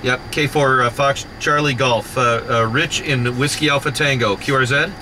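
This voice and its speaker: A man working a ham radio contest from a vehicle, briskly trading call signs in the phonetic alphabet with frequent "uh" fillers. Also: mid-range voice, fairly even intonation. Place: inside a moving vehicle. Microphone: mobile radio microphone. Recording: loud background noise from a mobile radio station.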